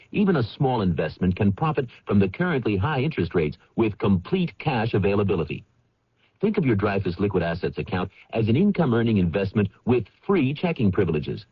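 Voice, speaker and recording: A man's voice: on the low side, husky, dry recording. A man reading a scripted financial commercial as a radio announcer. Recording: dull vintage radio commercial.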